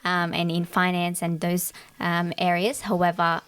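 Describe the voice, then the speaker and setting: A woman's voice: lowish voice, monotone, unhurried, a bit bright, clear, resonant tone. Earnest teenage girl from Afghanistan. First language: Dari. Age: teens. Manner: earnest, articulate, frequent ums. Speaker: guest. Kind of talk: podcast interview.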